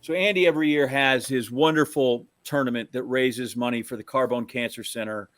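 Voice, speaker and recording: Mid-pitched American man, casual storyteller. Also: steady tone, brisk, fairly bright tone. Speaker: podcast co-host. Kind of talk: casual anecdote telling.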